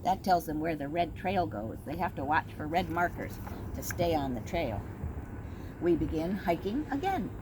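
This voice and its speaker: A middle-aged woman reads a picture book aloud for young children, her pitch rising and falling in wide, lively swoops. She is gentle and patient and slows down to give little listeners time. The home recording carries a clear layer of background noise. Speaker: teacher reading to children. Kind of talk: storybook read-aloud for kids. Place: at home with her dog nearby.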